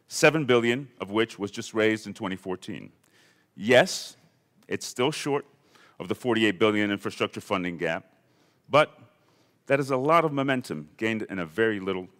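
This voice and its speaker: A man from Ethiopia giving a prepared conference talk in a measured, deliberate way. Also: fairly low pitch, lively intonation, fairly bright tone. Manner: measured, deliberate delivery with lists. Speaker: conference speaker. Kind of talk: prepared keynote speech. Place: conference hall.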